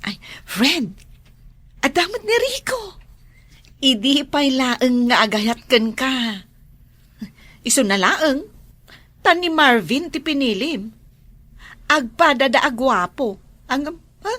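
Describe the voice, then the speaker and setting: A woman's voice: high-pitched, big pitch swings, slow pace, pauses often, pushed and bright, dry recording, exaggerated voice. A woman acting as a teasing, outspoken friend giving love advice in an audio drama, with an Ilocano accent. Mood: teasing, advising.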